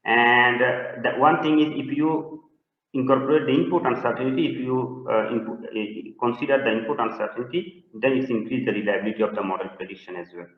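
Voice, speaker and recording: Man from Bangladesh, halting with fillers, roomy echo, dull lo-fi webinar audio. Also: medium pitch. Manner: hesitant, many fillers and restarts. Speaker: presenting researcher answering questions. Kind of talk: webinar Q&A answers. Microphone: video call. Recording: echoey, dull lo-fi call audio.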